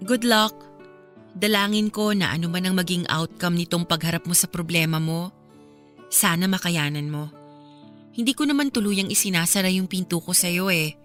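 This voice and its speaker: An actress playing a gentle, supportive young woman in a radio romance drama, speaking with a Filipino accent in a tender, earnest way. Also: fairly low pitch, moderate pace, soft background music, lightly acted.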